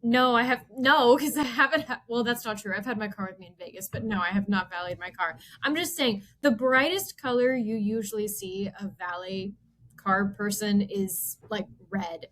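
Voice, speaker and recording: A woman's voice: medium pitch, normal speed, a bit bright. A woman co-hosting a podcast, chatting informally about a movie and chiming in with her own opinions. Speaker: podcast co-host. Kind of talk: casual movie banter.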